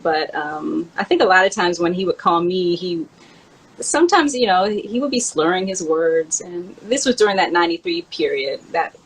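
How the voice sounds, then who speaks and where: Female co-host, lowish husky voice, casual and halting conversational delivery. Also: expressive, rough recording. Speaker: co-host, memoir author. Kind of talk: casual chat, reminiscing.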